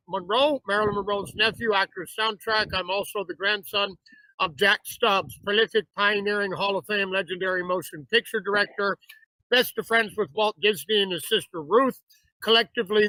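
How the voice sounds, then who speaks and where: Bright-voiced, effusive male talk show host. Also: high-pitched, no room sound, poor audio. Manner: effusive, repeats "absolutely fabulous". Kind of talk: introducing and interviewing a guest.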